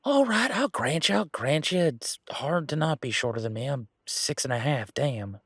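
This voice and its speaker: A man playing an easygoing, teasing country-boy werewolf bodyguard in a roleplay audio drama, talking in a folksy, informal way with drawn-out hesitations. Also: on the high side, lively intonation, breathy edge, no echo, clean studio sound. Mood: teasing, fond. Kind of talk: roleplay audio drama, one-sided conversation.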